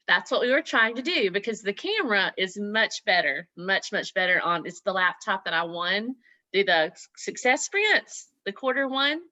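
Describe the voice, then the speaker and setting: Older Southern woman, guest speaker on a laptop call; bright, chatty, quick to laugh. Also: medium pitch, expressive, no echo. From Southern US (Mississippi, Alabama). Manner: warm, chatty, laughs often. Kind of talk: casual talk on a video call. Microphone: laptop on a video call.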